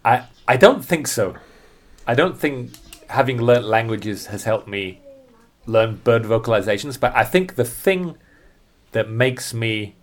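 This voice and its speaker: A man chatting casually as a birding guide on a podcast, thinking aloud and often rephrasing himself as he goes. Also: lowish voice.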